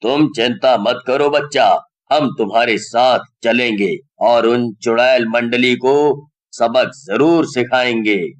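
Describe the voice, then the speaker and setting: A man playing a calm, reassuring holy man who speaks with solemn assurance. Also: medium pitch, slow, dry recording, close to an everyday voice. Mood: calm, resolute.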